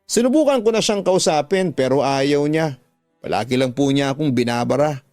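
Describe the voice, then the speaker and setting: Radio drama role: troubled young live-in partner, female played by a man, earnest, plaintive, somewhat high, closely dry. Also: expressive, moderate pace, a little mellow, no echo, soft background music. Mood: hurt, pleading. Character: troubled young live-in woman confiding her heartache.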